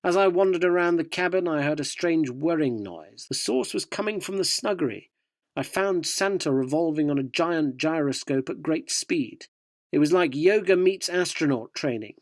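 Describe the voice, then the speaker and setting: A cheeky, cynical yuppie journalist, played by a man in a radio drama. His voice sits on the high side and is recorded very dry, with a wry, provocative delivery in both narration and dialogue. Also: lively intonation, normal speed, dry recording. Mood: wry narrating.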